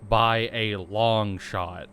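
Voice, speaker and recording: A man's voice: fairly low pitch, steady tone, very slow, fairly bright tone, slight background noise. A young man co-hosting a podcast, rambling and joking in casual chat, stumbling for words. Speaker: podcast co-host.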